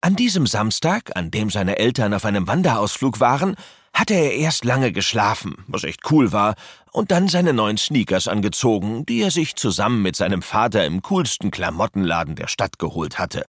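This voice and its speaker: Male narrator, low, expressive, dry studio sound, children's story. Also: moderate pace, dry recording. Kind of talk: scripted children's audio drama narration.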